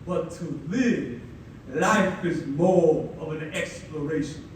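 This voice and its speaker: A young man from the Philippines, a native Tagalog speaker, giving a ceremonial keynote speech with energetic, playful storytelling and dramatic pauses. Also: on the high side. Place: large hall at a ceremony. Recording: echoey hall, mic far off, audible room noise.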